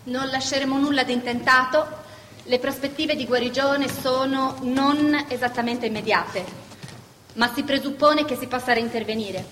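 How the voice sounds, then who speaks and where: A diplomatic doctor with a slightly high voice. She is formal and careful, wrapping bad news in euphemism. The hall is very echoey, with faint noise behind her. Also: steady tone, moderate pace, fairly bright tone. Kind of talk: live theatre acting.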